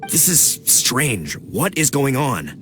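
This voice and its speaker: A man's voice: medium pitch, sing-song, slow pace, music in the background. An actor voicing a puzzled, exasperated male villager in a video game. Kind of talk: video game character dialogue.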